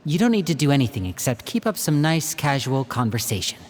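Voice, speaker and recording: A playful young stage magician from a game, voiced by a man, leading his siblings with a smooth showman's charm. His pitch swings about lively as he talks, never flat. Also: brisk, noisy background, lightly acted. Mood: planning. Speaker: older brother planning a rescue. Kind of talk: video game dub acting.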